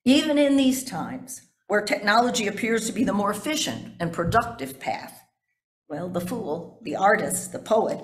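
Older woman preaching in an echoey church. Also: medium pitch. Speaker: pastor preaching a sermon. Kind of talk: sermon read from notes, with asides to the congregation. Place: indoors in a church sanctuary at a pulpit. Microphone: gooseneck pulpit microphone.

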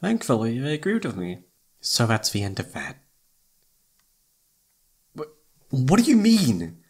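A man's voice: mid-range voice, wildly swooping pitch, unhurried, darkish tone, husky, exaggerated voice. A man acting as an informal, emotive young best friend who is secretly in love, in a one-sided roleplay audio drama. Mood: frank, mildly defensive. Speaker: voice actor.

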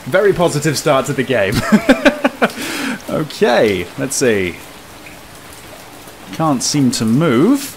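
Wry, animated man commentating over noisy ambience. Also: medium pitch, takes it slowly, long pauses. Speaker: gaming video host. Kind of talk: casual gameplay commentary. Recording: very noisy game ambience behind.

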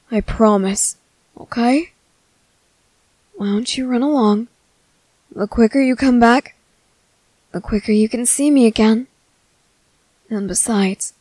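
A woman voicing a gentle, earnest young merman in a soft, halting, soothing ASMR roleplay. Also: mid-range voice, dry recording.

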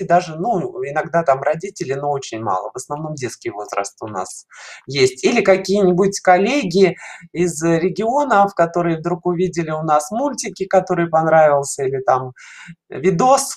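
A middle-aged woman explaining things in an interview over a video call, in halting phrases with frequent pauses. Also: low-pitched. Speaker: caller to an online show, head of a children's animation studio. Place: small dim room indoors, video call. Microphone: headset on a video call.

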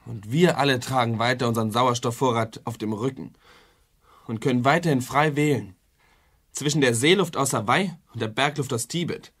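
An actor playing a bitter, appalled son in a radio play, speaking with grim emphasis. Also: male voice, mid-range voice, moderate pace, husky, close to an everyday voice. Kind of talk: German radio play dialogue.

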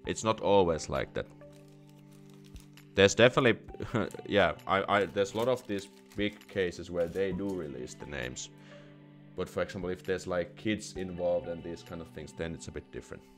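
A man's voice: low-pitched, moderate pace, soft background music. A young Finnish man with a Finnish accent hosting a live stream from a small room, speaking casually into a studio mic, with many restarts and fillers as he thinks aloud. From Finland. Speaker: host answering viewer questions. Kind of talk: casual live stream Q&A, reading chat comments. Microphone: studio condenser mic with headphones.

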